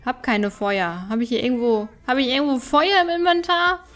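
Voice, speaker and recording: Girl doing a let's play, slightly high voice, chatty commentary. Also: moderate pace, a bit bright. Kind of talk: casual game commentary, reading game dialogue aloud.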